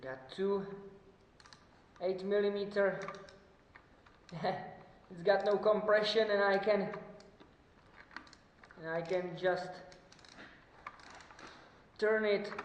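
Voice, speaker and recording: A high-voiced man from the Czech Republic with Czech as his first language, speaking very slowly in casual workshop commentary. He stalls on fillers and chuckles between thoughts. The sound is roomy, with a long echo tail. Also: steady tone, dull audio, a little hiss or hum. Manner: halting, with frequent fillers and chuckles. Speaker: motorcycle mechanic vlogger.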